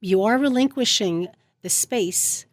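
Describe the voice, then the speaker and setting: A woman's voice: mid-range voice, measured pace, dry recording. A middle-aged woman, a speech coach, explaining voice habits on a radio show in a studio. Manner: demonstrates voice habits with her own voice.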